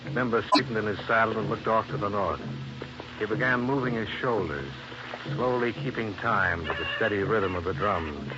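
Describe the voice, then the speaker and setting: An actor playing a wry, hardboiled American investigator, dry and wisecracking, in old radio detective drama. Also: male voice, on the low side, normal speed, music in the background, close to an everyday voice. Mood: wry narrating. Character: wry, hardboiled American insurance investigator narrating his case. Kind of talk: 1950s radio detective narration and dialogue. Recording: muffled old radio recording.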